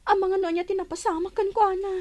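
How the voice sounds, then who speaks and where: Lady playing upset young village girl, really high voice, plaintive radio drama acting. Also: level tone, quick pace, a little mellow. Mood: aggrieved, worried.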